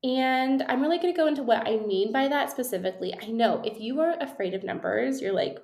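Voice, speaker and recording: Podcast host, woman, thirties, friendly chatty delivery with ums, bit nasal from a cold, higher voice, echoey room. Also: lively intonation, a little fast, darkish tone. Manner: chatty, upbeat, frequent ums and little laughs. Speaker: podcast host and business coach. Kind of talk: casual solo podcast talk. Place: her new home office, echoey room.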